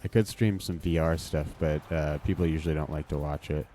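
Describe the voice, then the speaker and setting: Deep-voiced man swearing through gameplay. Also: steady tone, brisk, warm tone, soft background music. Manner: casual, swearing, reacting to play. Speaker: streamer. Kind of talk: live gameplay commentary.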